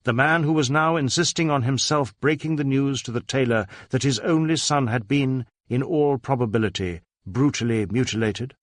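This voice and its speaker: Man, audiobook narrator, scripted literary storytelling, medium pitch, dry studio-like sound. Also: moderate pace, few pauses, no echo.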